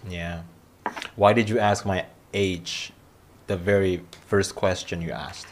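Young man from Indonesia, streamer host, low voice, slow easygoing delivery. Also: slight background noise. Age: 20s. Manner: relaxed, joking, low-key, trails off quietly. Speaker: livestream host. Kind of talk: casual video chat with strangers. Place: small room with shelves and gaming chair.

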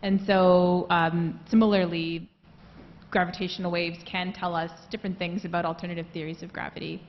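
A woman on a lecture hall panel explains clearly, gesturing as she talks. Her voice is on the low side for a woman. The sound is muffled, with a long hall reverb behind her. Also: level tone, fairly quick. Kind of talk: panel discussion follow-up. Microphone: handheld mic. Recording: muffled hall recording with faint noise.

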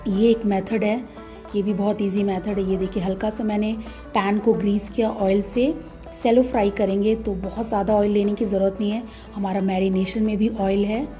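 A woman giving calm, step-by-step cooking instructions as a voice-over, with frequent "uh" fillers. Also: mid-range voice, normal speed, soft background music. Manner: calm, instructive, many "uh" fillers, mixes English words into Hindi. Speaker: cooking channel host. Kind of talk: recipe voice-over while cooking.

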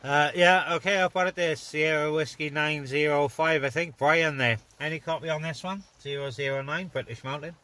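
A man's voice: fairly high pitch, normal speed, hardly any pauses. A man operating a CB radio in casual on-air chat, with informal, chummy sign-offs. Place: inside a parked car on open moorland. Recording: noisy in-car recording with clicks.

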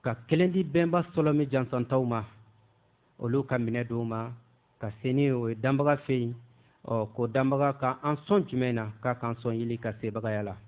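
A man hosting a radio show, greeting listeners and giving a measured, formal invocation. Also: mid-range voice. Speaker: radio host. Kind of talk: radio show intro and blessing. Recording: phone-like lo-fi radio sound.

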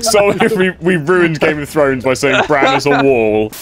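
Brief: playful young guy on game chat, slightly high, very lively pitch, laughs constantly. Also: normal speed, over a noisy crowd. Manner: laughs a lot, teasing, playful. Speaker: gamer streamer. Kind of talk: casual group gaming chat.

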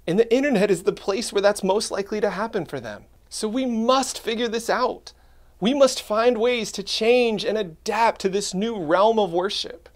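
A man in his thirties, earnest and animated, giving a scripted talk to camera about church ministry, recorded in a studio. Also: high voice.

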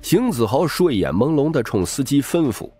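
A man's voice: mid-range voice, big pitch swings, moderate pace, warm tone, husky, soft background music. A man narrating a novel as a dramatic scripted audiobook.

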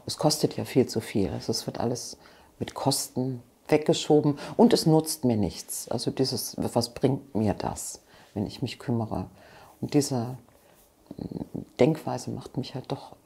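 Low, gravelly woman. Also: warm tone. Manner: passionate, frank, with restarts and asides. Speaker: interview guest. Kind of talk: earnest interview answers. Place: living room with bookshelves, seated on sofa.

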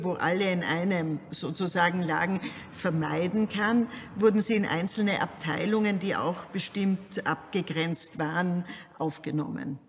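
A woman giving an explanatory interview as an expert, feeling her way through sentences with halting phrasing. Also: fairly low pitch. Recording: long echoing hall reverb.

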